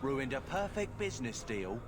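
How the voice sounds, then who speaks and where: A man's voice: medium pitch, very animated, moderate pace, big-room sound, close to an everyday voice. A man voicing a bitter, threatening slave-trader's henchman in a video game, heard distantly under noise. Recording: distant game audio under noisy ambience.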